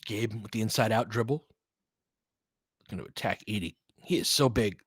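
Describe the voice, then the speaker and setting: A man's voice: on the low side, moderate pace, slightly rough, no room sound. A man doing casual live basketball play-by-play, talking conversationally and adding his own opinions as he goes. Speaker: play-by-play commentator and host. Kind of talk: casual live basketball commentary with fan chat.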